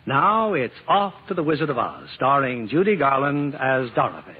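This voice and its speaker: A man's voice: medium pitch, big pitch swings, normal speed, heavy background noise. A man hosting and narrating in a formal, scripted vintage radio style. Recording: muffled old radio broadcast, music behind.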